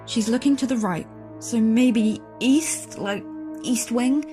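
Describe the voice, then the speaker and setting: High-voiced determined young woman played by an actress. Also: takes it slowly, dark tone, faint music behind. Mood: puzzled, focused. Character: determined, anxious young woman solving clues under threat. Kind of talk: audio horror drama.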